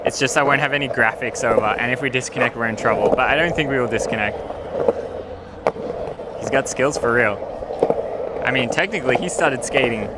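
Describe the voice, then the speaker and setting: A man's voice: medium pitch, brisk, long pauses. Slangy man livestreaming outdoors, noisy background. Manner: slangy, enthusiastic. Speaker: livestream host. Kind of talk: casual livestream chat. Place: outdoor concrete skatepark.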